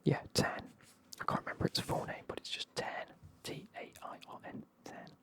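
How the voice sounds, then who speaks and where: A person with a British accent whispering a casual, chatty, sweary retelling of a book in a breathy ASMR style. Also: moderate pace.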